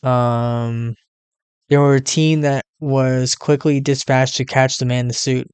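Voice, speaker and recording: A man hosting a reaction video, reading text aloud haltingly and adding muttered casual asides. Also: medium pitch, level tone, a little mellow, clear, resonant tone, no echo.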